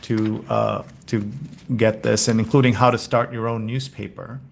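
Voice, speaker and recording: A man with a fry-laden voice hosts a casual live show. He presents with enthusiasm, in a halting, conversational flow. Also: on the low side, level tone, a little hiss or hum. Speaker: host.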